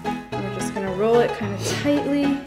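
A woman's voice: a little high, normal speed. Young woman explaining a recipe, echoey kitchen. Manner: instructive, upbeat, steady step-by-step explaining. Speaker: guest baker. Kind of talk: cooking demonstration. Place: large home kitchen. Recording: echoey kitchen with background music.

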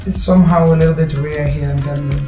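A woman's voice: deep voice, fairly even intonation, quick pace, dark tone. A woman in her thirties with a Dutch accent, chatting casually as the host of a vlog. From Limburg, Netherlands. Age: 30s. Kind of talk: casual chat. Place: living room of a home salon, indoors. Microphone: camera a few metres away. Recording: roomy sound with background music. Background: music.

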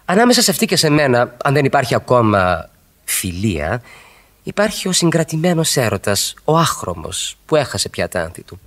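Yearning husband in his thirties, 1960s radio drama, eloquent and emphatic, very animated intonation. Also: mid-range voice. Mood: wry, wistful. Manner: rhetorical, emphatic, repeats phrases. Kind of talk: 1960s radio play acting.

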